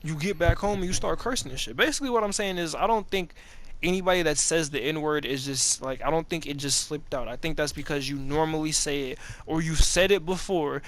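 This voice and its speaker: A man's voice: fairly high pitch, fairly quick, fairly bright tone, slight background noise, poor audio. A man of about twenty talking casually and profanely over gameplay, rambling with many restarts and slang. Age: 20s. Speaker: gaming video creator.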